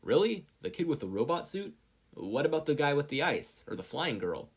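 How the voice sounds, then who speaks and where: A man's voice: a little high, big pitch swings, normal speed, husky, voice pushed up, exaggerated voice. A man voicing a curious, teasing male tournament spectator in a story reading, with quizzing banter.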